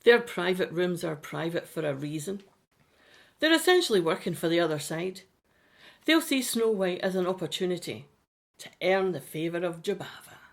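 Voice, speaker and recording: A woman playing a gentle, idealistic settler in an audio drama, explaining things earnestly. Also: on the low side, sing-song, normal speed, gravelly. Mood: knowing, kindly.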